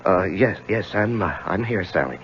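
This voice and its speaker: An actor playing a troubled middle-aged lawyer in a 1970s radio drama, speaking in a halting, confessional way. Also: male voice, sits low, animated, slight background noise. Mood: flustered. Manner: halting, hesitant, stammering. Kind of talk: 1970s radio drama acting, narrating and in scenes. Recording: dull lo-fi 1970s radio, music underneath.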